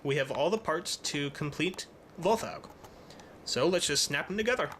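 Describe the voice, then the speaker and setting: A man presenting a casual hobby how-to, talking in a halting, stop-start way with thoughtful pauses. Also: fairly high pitch, lively intonation, a bit bright. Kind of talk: casual tutorial narration. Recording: noticeable background noise.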